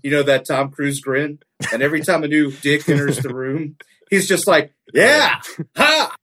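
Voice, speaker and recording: A man's voice: on the high side, steady tone, normal speed, a bit bright, slight background noise. A man riffing casually on a comedy podcast, joking and swearing as he builds absurd scenarios.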